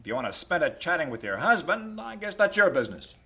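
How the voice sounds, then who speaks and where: Radio play captain: American, high forceful voice, blunt, impatient, barking. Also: male voice, big pitch swings, quick pace, slightly rough, full character voice. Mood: curt. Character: brusque, impatient troop ship captain. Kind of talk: radio drama acting.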